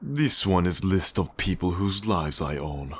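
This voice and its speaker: An actor playing a cold, menacing Russian mafia boss in an ASMR roleplay, with a Russian accent and a deliberate, condescending manner. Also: male voice, deep voice, big pitch swings, breathy edge, exaggerated voice. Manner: slow, deliberate, controlling, condescending. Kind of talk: ASMR roleplay monologue addressed to the listener.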